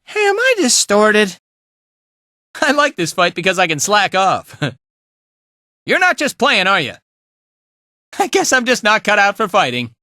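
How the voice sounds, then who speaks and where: A man's voice: sits high, wildly swooping pitch, measured pace, long pauses, pushed and bright, dry recording, clean studio sound, heavily acted. An actor playing a laid-back, playful male fighter in a video game, with an easygoing, casual drawl. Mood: laid-back, musing. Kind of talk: animated video game voice lines.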